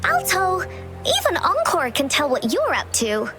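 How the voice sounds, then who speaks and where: A woman's voice: very high voice, wildly swooping pitch, unhurried, sharp tone, full character voice. An actress voicing a cheeky young girl who teases her companion in a game cutscene. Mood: teasing. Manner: teasing, playful. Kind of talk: video game cutscene acting. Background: music.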